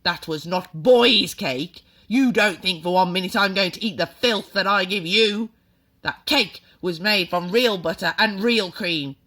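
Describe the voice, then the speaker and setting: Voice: mid-range voice, unhurried, pitched above the performer's own voice. A reader voicing a furious, bullying headmistress who barks and screams insults at a boy. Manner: barking, shouting insults.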